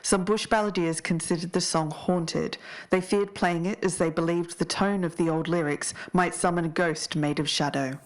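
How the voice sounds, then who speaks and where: Lower-voiced woman narrating a podcast. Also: fairly even intonation, moderate pace, few pauses. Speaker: podcast co-host.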